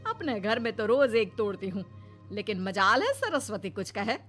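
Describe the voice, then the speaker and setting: A woman's voice: medium pitch, big pitch swings, moderate pace, a bit bright, faint music behind. A woman voicing a bitter, scolding older mother-in-law in a family cartoon. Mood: indignant. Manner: complaining, scolding.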